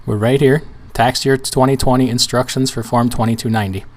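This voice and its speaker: Man, hoarse today, casual trucking-channel host; loose, restart-heavy tutorial delivery. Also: medium pitch, steady tone, fairly quick. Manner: casual, rambling with restarts and fillers. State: hoarse, weak voice. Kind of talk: screen-share tutorial walkthrough.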